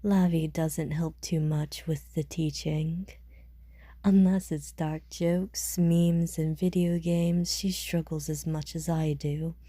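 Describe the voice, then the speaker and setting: A calm, jaded, dryly teasing woman, played by a voice actress in an audio roleplay. She speaks slowly and politely in a low, breathy murmur. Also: no echo, clean studio sound. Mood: wistful, fond. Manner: measured, polite, softly jaded. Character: calm, world-weary, dryly teasing, caring mature woman.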